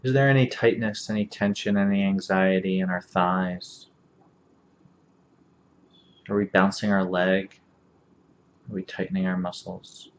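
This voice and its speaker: Low, even-voiced man guiding meditation. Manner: calm, unhurried, gentle phrasing with long pauses. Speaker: meditation instructor. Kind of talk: guided meditation, body scan instruction. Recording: dull lo-fi audio, faint background noise.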